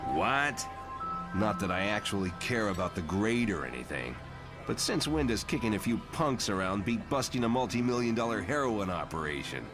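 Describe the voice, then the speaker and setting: Loud music sits behind a low male voice. A man acts out a gruff, boastful mutant fighter in a motion comic, talking in tough slang. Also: big pitch swings, moderate pace. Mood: indignant. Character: gruff, cocky mutant brawler leading a covert team.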